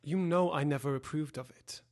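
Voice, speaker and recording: A man acting in an audio drama as an earnest, worried Victorian gentleman, speaking formally and insistently. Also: fairly high pitch, moderate pace, lightly acted. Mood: disapproving.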